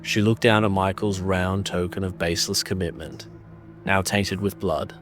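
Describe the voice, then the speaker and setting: Deep-voiced man narrating horror, grave. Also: steady tone, fairly bright tone, faint music behind. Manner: grave, deliberate, ornate delivery. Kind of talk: dramatic scripted horror narration.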